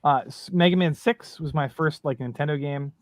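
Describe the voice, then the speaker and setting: Man in his thirties, somewhat high voice, eager gaming talk, dry mic. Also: normal speed, no room sound. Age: 30s. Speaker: guest. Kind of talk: casual gaming chat.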